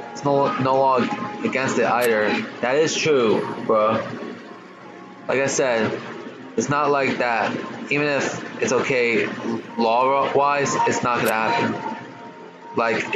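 A man's voice: medium pitch, lo-fi sound. A man streaming a game, reading its text aloud, stumbling and restarting over words, with slangy asides. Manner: stutters on some words. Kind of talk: casual let's-play reading of game text. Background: loud game music.

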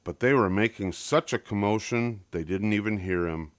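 A man's voice: on the low side, normal speed, dry recording. An older man narrating a children's picture book as a scripted story reading.

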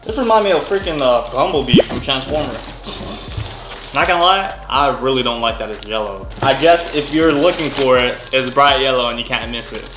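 A young man casually reviewing tools in his home garage, excitable and slangy, talking straight to a desk camera. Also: mid-range voice, normal speed, background noise. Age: young adult. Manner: excitable, slangy, laughs often. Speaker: car and tool vlogger. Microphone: camera on desk, seated facing it. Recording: echoey garage with background music.

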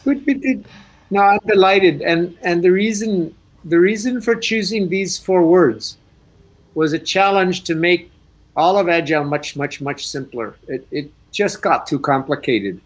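A man speaking English on a video call, relaxed and thinking aloud with restarts as a guest speaker. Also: sits high, unhurried, rough recording. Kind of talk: casual talk on a video call.

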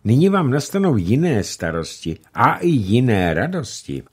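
A man reading the part of a gentle, wise old grandmother in an audiobook, speaking slowly and softly with a husky voice. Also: medium pitch, very animated, voice pushed up. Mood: calm, tender. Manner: slow, soft-spoken, unhurried.

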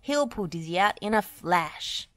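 A woman's voice: medium pitch, lively intonation, unhurried, fairly bright tone. A woman voicing a hearty, eager male construction machine in a children's story, bold and roaring. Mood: urgent.

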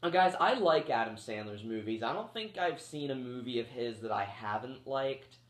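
A man's voice: mid-range voice, lively intonation, normal speed, a bit bright. A man around thirty reviewing a movie in a loose, rambling way, full of fillers and restarts, while getting over a cold that makes him cough. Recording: echoey room.

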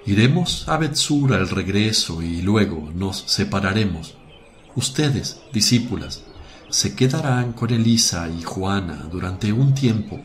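Guy acting gentle solemn holy teacher, radio drama, slow and lowish, birds n some noise behind. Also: expressive. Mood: calm, compassionate. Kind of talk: Spanish religious radio drama.

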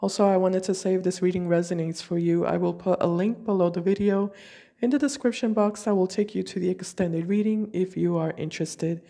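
A woman with a low, mellow voice lays out tarot cards and talks her viewers through them. She is fighting a cold, and she speaks in slow, deliberate, intuitive phrases from a table at home. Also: steady tone, gravelly. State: has a cold. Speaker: tarot reader.

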